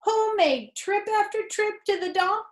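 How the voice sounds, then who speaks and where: Woman voicing a high-pitched, sarcastic storybook rat. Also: measured pace. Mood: indignant, boastful. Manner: mocking, theatrical griping. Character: greedy, sarcastic, complaining male rat, self-pitying and put-upon. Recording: roomy indoor echo.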